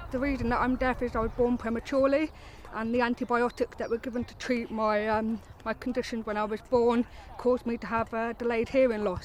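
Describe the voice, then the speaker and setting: A woman's voice: on the high side, slight background noise, low-quality recording. A woman who is a football player talks reflectively and hesitantly in an interview.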